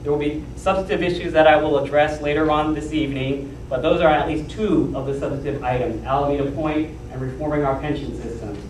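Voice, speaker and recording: A man's voice: fairly high pitch, steady tone, brisk, few pauses. A man gives a formal, emphatic campaign speech as a council candidate, repeating his key phrases. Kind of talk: prepared campaign speech at a forum. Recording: echoey room from far off, faint noise.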